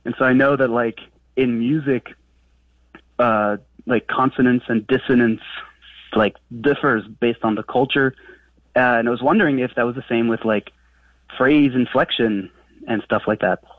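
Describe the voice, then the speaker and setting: A man's voice: mid-range voice, steady tone, normal speed, no room sound, recorded from a distance. Male French-native caller on a dull phone line. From France. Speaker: radio show caller. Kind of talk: casual, hesitant phone chat.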